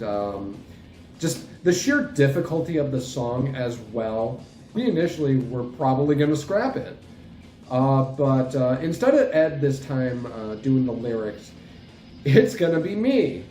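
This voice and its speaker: A man with a mellow, mid-pitched voice talks casually through project updates as a host. He is hesitant and rambling, often playing himself down. The room adds a noticeable echo, and music plays faintly behind him. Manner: hesitant, rambling, self-deprecating. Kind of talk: casual unscripted update talk.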